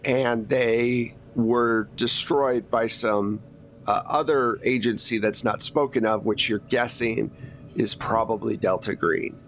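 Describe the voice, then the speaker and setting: A man's voice: mid-range voice, steady tone, slow, fairly bright tone, slight background noise. A man acting as game master, narrating a tabletop role-playing game in a conversational, teasing way.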